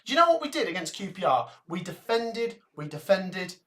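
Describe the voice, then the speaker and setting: A man giving live fan commentary on a match, excitable and shouting at goals, his voice going hoarse and breathless. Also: high-pitched, brisk. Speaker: watchalong host commentator.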